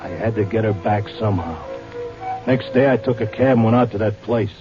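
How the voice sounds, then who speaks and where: A man's voice: fairly low pitch, a little fast, close to an everyday voice. An actor playing a stubborn, devoted horse-race handicapper in a vintage radio drama, talking in slangy wise-guy style. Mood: wistful narration. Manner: slangy, streetwise Broadway wise-guy talk. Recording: dull old radio recording with music.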